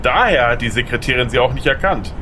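A man's voice: mid-range voice, lively intonation, fast talker, sharp tone, slight background noise. A man playing a harried young lawyer in an audio drama, earnest and easily flustered. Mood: indignant. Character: harried, polite but indignant young male lawyer.

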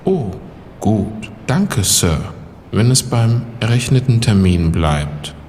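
A man's voice: on the low side, lively intonation, slow pace, darkish tone, a little hiss or hum. A man playing a deferential, courteous building doorman in an audio drama. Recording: long reverberant hall, faint ambience.

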